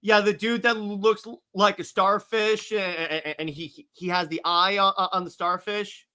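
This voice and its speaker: A man's voice: high-pitched, fairly even intonation, normal speed, sharp tone, smooth. A man co-hosting a podcast, chatting casually about a movie and often chiming in to agree. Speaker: podcast co-host.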